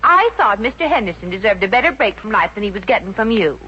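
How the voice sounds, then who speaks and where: Brash wisecracking american gal, bright and swoopy, sardonic old radio comedy, dull hissy recording. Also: female voice, medium pitch, big pitch swings, quick pace. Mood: defiant. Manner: sardonic, sassy, slangy. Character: brash, wisecracking working-class woman, good-hearted and defiant. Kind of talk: 1950s radio comedy acting.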